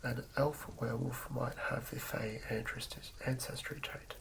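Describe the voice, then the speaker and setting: Breathy male whisper, very gravelly fry, soothing ASMR reading, lo-fi recording with clear noise. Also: medium pitch, fairly even intonation, normal speed. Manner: soothing, hushed, stumbles over words while reading. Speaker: ASMR host. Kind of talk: whispered ASMR reading of fantasy game lore.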